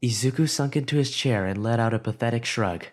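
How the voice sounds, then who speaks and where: A man narrating a story in an expressive, scripted storytelling way. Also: medium pitch, brisk.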